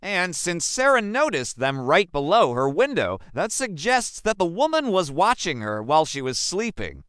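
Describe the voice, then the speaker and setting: Man, scripted narration, lively pitch, smooth clear voice, dry close mic. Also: moderate pace, few pauses, dry recording. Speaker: commentator.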